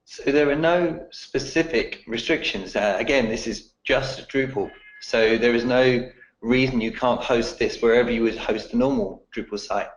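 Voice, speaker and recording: A man with a croaky voice answering technical questions in a webinar, explaining in a steady way. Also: mid-range voice, moderate pace, reverberant, rough recording.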